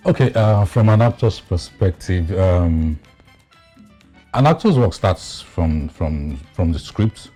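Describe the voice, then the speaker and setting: A man explaining things haltingly and deliberately as an interview guest. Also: low-pitched, animated, warm tone, faint music behind. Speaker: guest actor.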